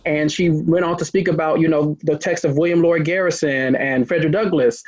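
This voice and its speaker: A man's voice: fairly high pitch, steady tone, fairly quick, no room sound. A man interviewing a guest, talking in a rambling, hesitant way with many restarts. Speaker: interviewer, host. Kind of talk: casual interview. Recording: muffled lo-fi recording.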